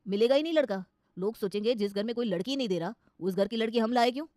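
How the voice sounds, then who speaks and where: An outspoken young son, acted out by a woman in a cartoon moral tale. He talks very quickly, with blunt sarcasm, in a dry close recording. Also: on the high side, dark tone, dry recording, natural voice. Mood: sarcastic.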